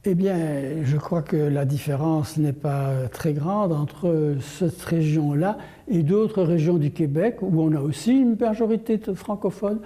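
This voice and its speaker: Older male interview guest, extremely dark, muted timbre, deliberate explanatory delivery. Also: fairly high pitch. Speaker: interview guest, language course creator.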